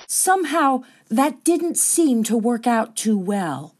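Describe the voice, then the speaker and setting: A perky female roving reporter played by a woman hosts a satirical radio report. Her voice is high and swoops expressively, with faint noise on the recording. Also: very animated, slow pace, a little mellow. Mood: perky, wry. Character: perky, unflappable female radio reporter among talking rhinos.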